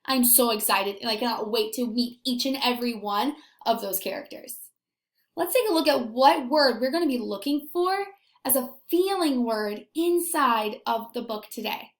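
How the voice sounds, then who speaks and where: Female early-grades teacher, higher-pitched and unhurried, expressive questioning style, reverberant room. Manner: expressive, animated questions with pauses for young children. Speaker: elementary school teacher. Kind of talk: children's read-aloud lesson to camera. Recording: echoey room.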